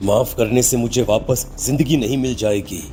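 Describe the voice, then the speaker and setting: A man's voice: mid-range voice, steady tone, normal speed, warm tone, lightly acted. A mournful young ghost, acted by a man in a cartoon horror tale. The dead boy speaks with sorrow and reproach while music plays behind. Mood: reproachful. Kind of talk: Hindi cartoon horror story acting.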